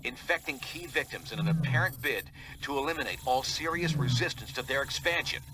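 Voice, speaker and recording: An actor playing a serious team leader delivering a video game mission briefing. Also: male voice, mid-range voice, a little fast, bright, forceful tone, a little hiss or hum, close to an everyday voice, rough recording. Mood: grave.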